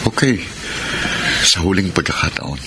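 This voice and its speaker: An actor with a Filipino accent playing a gentle, hesitant former lover in a radio soap drama, with a halting delivery. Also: male voice, sits low, lively intonation, heavy background noise. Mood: hesitant, awkward. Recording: loud music bed under radio drama. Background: sentimental music.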